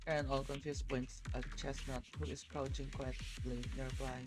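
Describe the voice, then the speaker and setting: Man, game streamer, mid-pitched voice, live commentary and reading aloud, loud music behind. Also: level tone, moderate pace.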